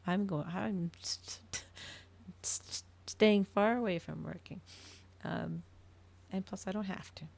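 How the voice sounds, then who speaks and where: A woman's voice: mid-range voice, takes it slowly, gravelly, pauses often, a little mellow. A woman livestreamer chatting casually to her viewers, rambling and thinking aloud as she goes. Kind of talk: casual chat to stream viewers.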